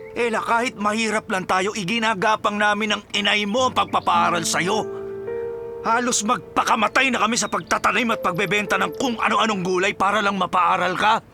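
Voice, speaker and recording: A man's voice: high voice, creaky. A man playing a stern, resentful, middle-aged farmer father who scolds emphatically in a Filipino radio drama. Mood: angry scolding. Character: stern, resentful poor farmer father forbidding his daughter's suitor.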